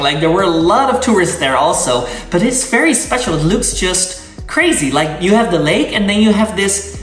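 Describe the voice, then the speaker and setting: A man's voice: fairly high pitch, fairly bright tone. A young man with a Dutch accent, a native Dutch speaker, giving casual travel tips in an enthusiastic, gushing way, full of fillers and restarts. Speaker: travel vlogger. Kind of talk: casual travel-guide talk. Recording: roomy sound, mic at a distance.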